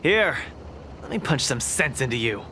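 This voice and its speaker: A man's voice: medium pitch, very animated, moderate pace, heavy background noise, lightly acted. A young man voicing an earnest, boastful boxing champion hero in a video game, enthusiastic and theatrical. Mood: triumphant.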